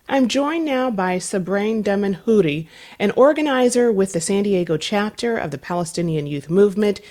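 Measured woman public radio host. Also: medium pitch, darkish tone. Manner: measured, formal newsreader delivery.

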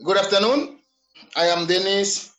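A man from Haiti with a Haitian accent, answering interview questions in halting, simple second-language phrasing. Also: high-pitched, bright, forceful tone, soft background music. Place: apartment.

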